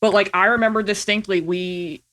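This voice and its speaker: Bright-voiced woman chatting casually. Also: mid-range voice, steady tone, moderate pace. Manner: informal, peppered with "like" and "you know". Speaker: podcast guest, indie producer. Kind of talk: casual chat, storytelling.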